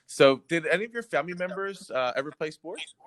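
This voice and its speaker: A man's voice: fairly high pitch, lively intonation, a little fast, a bit bright. A man hosting a podcast interview, upbeat and encouraging toward his guest. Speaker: podcast host.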